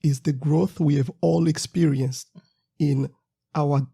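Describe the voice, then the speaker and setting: A man co-hosting a podcast, explaining things in a steady way with pauses. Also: a little high, gravelly, breathy edge. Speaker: podcast co-host. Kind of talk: casual podcast chat.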